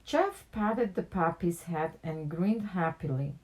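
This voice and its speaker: A woman reads a picture book aloud in an expressive storytelling style for children. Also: lowish voice, takes it slowly. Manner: animated character dialogue with plain narration.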